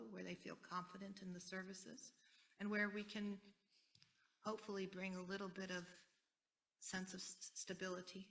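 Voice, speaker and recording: An American woman speaking as a panelist at a conference, earnest and deliberate, repeating key phrases for weight. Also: fairly low pitch, vocal fry, fairly bright tone, recorded from a distance, poor audio. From United States. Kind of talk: panel talk.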